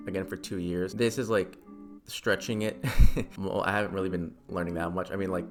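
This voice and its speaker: A young American man hosting a channel in a casual, self-deprecating explainer voice-over, speaking informally. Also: sits low. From United States. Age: young adult. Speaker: language-learning channel host.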